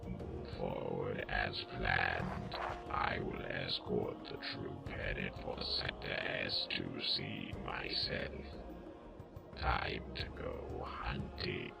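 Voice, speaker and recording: An actor voicing a gruff, menacing droid mercenary commander with a guttural growl, curt and commanding in an audio comic drama. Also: rumbling low, fairly even intonation, very slow, heavily acted. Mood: cold, commanding. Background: music.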